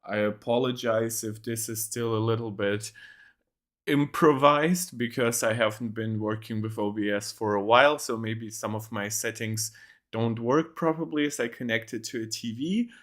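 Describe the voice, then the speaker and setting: A man's voice: medium pitch, moderate pace, fairly bright tone. A young man hosting a casual tech reaction livestream from a home setup on a desk mic, rambling with many ums and restarts.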